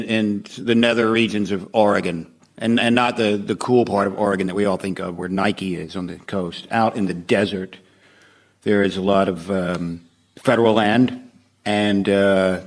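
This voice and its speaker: A man explaining things conversationally and wryly, with some hesitation, as a comedy panel guest. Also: on the low side.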